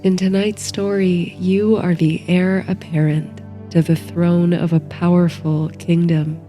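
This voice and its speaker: A woman narrates a bedtime fantasy with very slow, unhurried pacing and a soft, mellow tone. Her voice sits a little low and stays very even, gently guiding the listener like a meditation, with music underneath. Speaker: host, sleep story narrator and meditation guide. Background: soft background music.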